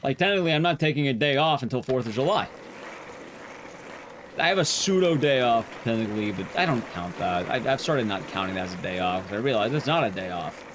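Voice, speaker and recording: A young man streaming to his chat, talking casually, rambling and chatty with sudden jumps in pitch. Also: lively intonation, fairly quick, noisy background.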